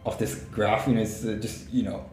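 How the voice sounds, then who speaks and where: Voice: mid-range voice, level tone, a little fast, mellow, reverberant, faint music behind. A host asking casual, hesitant interview questions, with many "you know"s and small backchannels.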